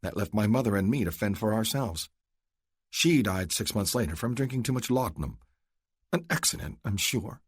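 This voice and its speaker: A man's voice: mid-range voice, very animated, moderate pace, darkish tone, slightly rough, no room sound, studio quality, voice pushed up. A man putting on a poised, charming young lady. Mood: hardened. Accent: Philadelphia upper-class. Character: cool, charming young upper-class woman.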